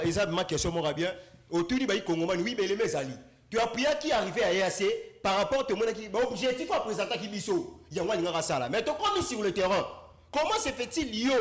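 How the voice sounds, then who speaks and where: A man speaking as a debate guest in a rambling, halting way. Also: high voice, echoey room. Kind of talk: political debate.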